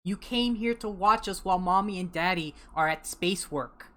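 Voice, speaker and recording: A woman's voice: lowish voice, normal speed, close to an everyday voice. Woman as a whiny, insistent young child. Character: whiny, competitive young grandchild, easily bored. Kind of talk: comic play acting.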